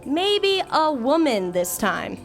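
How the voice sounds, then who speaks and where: A woman's voice: sits high, sing-song, takes it slowly, soft background music, heavily acted. An actress playing an imperious, sardonic young woman in a digital afterlife in an audio drama. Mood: wistful.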